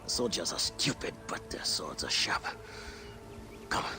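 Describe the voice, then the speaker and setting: A sly, jumpy curio merchant. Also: male voice, low voice, lively intonation, measured pace, over music. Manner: fawning then hushed and secretive. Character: nervous, sly male antiquities merchant.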